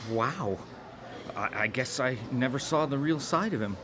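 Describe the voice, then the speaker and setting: A man's voice: medium pitch, animated, a little slow, noisy background, close to an everyday voice. A man playing a naive, pious, awkward nice guy on a date, hesitant and stammering, in a live radio drama. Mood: earnest.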